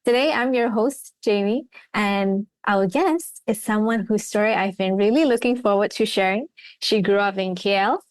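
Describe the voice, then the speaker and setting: A woman's voice: medium pitch, no echo. A young woman hosting a podcast interview, chatty and friendly, with many fillers. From Malaysia. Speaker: podcast host. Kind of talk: casual interview chat.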